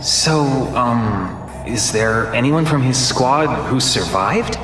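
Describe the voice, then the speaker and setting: A man's voice: mid-range voice, expressive, slow. A man voicing an uneasy, hesitant, gossiping squad soldier in a video game cutscene. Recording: echoey hall, music behind.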